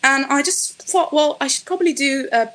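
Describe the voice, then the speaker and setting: A woman's voice: high voice, moderate pace, fairly bright tone. A middle-aged woman from Israel, a native Hebrew speaker, speaking thoughtfully in an interview with many fillers and restarts. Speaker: podcast guest, fashion designer. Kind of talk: conversational interview.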